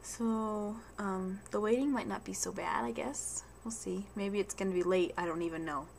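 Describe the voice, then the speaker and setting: A woman's voice: medium pitch, normal speed, vocal fry, a little hiss or hum. A young woman with a stuffy head cold, chatting casually to camera in a rambling video diary at home, full of ums and restarts. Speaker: vlogger.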